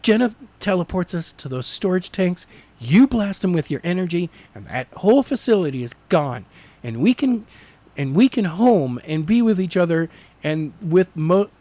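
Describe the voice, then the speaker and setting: A man's voice: high-pitched, animated, moderate pace, mellow, no echo, pitched above the performer's own voice. A man voicing a doubtful, pleading young woman who wants the fight over, in an amateur audiobook reading.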